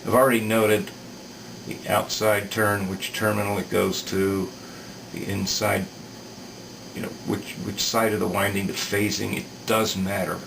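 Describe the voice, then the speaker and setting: A man giving a casual, unscripted technical explanation in a halting, thoughtful way, thinking aloud as he demonstrates repair work. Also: fairly low pitch, little pitch movement. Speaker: repair video maker demonstrating electronics work. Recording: distant mic with clear background noise.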